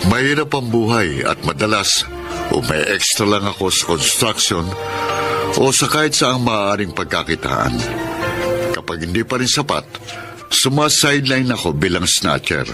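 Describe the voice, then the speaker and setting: A man's voice: sits low, sing-song, moderate pace, over music. A man playing a hard-up snatcher who provides for his family, in a Filipino radio drama. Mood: regretful.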